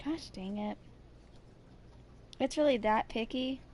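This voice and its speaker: A woman's voice: on the high side, slow, a little mellow, slight background noise. A woman in her twenties chatting casually and informally with stream viewers, rambling as she goes. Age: 20s. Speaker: livestreamer.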